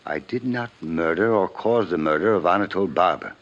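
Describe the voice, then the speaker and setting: An actor playing a guilt-ridden, formal, wealthy older father in a 1950s radio drama, speaking with measured diction. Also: low voice, natural voice. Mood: defensive, troubled. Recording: muffled vintage radio recording.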